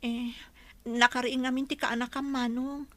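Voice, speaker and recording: A woman's voice: on the high side, level tone, moderate pace, bright, forceful tone, dry recording. A woman with an Ilocano accent playing a put-upon, weary woman in a family radio drama. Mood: aggrieved.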